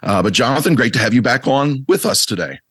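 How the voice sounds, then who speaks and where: A man hosting a podcast interview, close to losing his voice from allergies and talking in a halting, wry way with many restarts. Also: mid-range voice, expressive, fairly bright tone, breathy edge, no room sound. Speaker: podcast host.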